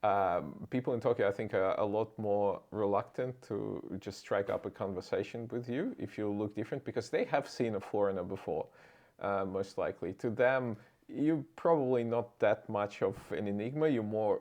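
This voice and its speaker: A man hosting a casual interview, choosing his words carefully and somewhat haltingly. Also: on the low side, a little mellow. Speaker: interviewer and channel host.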